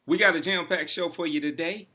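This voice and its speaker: A man's voice: on the high side, brisk, heavy background noise. A man hosting a casual talk show, steering the topics in laid-back slang. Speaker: host. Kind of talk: casual podcast chat.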